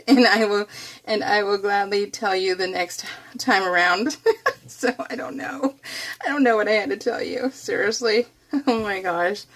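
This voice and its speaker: An American woman with a slightly high voice chats casually to her viewers. She is giggly and self-deprecating, often laughing through her words. Her talk rambles loosely, like an informal craft vlog. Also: fairly bright tone. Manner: self-deprecating, wanders off topic. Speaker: craft vlogger.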